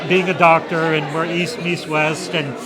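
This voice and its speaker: A man's voice: fairly high pitch, normal speed. A man hosting a talk show interview at a party, eager and chatty. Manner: eager, chatty, easily excited. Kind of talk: event interview chat. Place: busy indoor party. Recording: crowd noise of a busy party. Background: crowd chatter.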